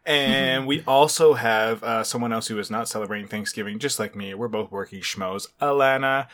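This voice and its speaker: A man's voice: medium pitch, normal speed, a bit bright. A man hosting a podcast in casual chat, upbeat and goofy, turning sentimental at times. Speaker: podcast host.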